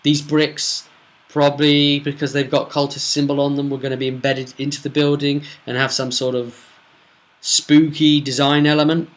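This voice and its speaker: A man's voice: a little high, little pitch movement, normal speed. A man casually recapping a tabletop role-playing campaign as game master, chatty, with emphatic asides. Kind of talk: casual solo recap narration.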